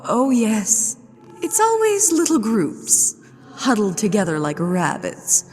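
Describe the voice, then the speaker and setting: Woman acting a haughty, slow-speaking ghost lady, reverberant. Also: mid-range voice, huge pitch swings, darkish tone, slight background noise. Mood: coy, intrigued. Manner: theatrical, teasing, imperious, with drawn-out phrasing. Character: haughty, playfully menacing ghost lady of a haunted manor. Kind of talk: ASMR roleplay acting. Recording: long hall reverb with music behind.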